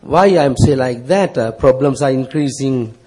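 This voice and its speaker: A middle-aged man with a Sri Lankan accent, preaching as a visitor in halting, broken English, a short phrase at a time for an interpreter. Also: medium pitch, mellow, breathy edge.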